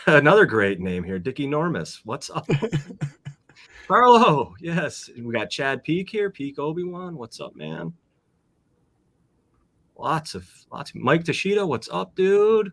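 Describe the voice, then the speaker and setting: A man's voice: a little high, sing-song, takes it slowly, pauses often, a bit bright. A man hosting a casual livestream over a video call, friendly and rambling as he greets guests and reads out names. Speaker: host.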